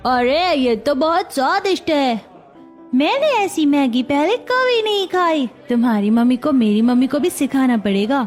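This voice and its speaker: A woman plays an eager young schoolchild in a cartoon. The voice is high and cheerful, with faint music behind it. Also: expressive, a little slow. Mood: excited. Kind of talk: cartoon child acting.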